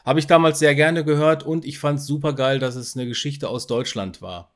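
A man's voice: a little high, fairly even intonation, moderate pace. A man co-hosting a podcast, talking in a casual, conversational way. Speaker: podcast host. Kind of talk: casual chat about audio dramas.

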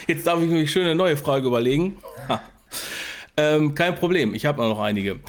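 A man's voice: fairly high pitch, lively intonation, unhurried, a little hiss or hum. A man, chatting casually as a podcast co-host and interviewer. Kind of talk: casual chat.

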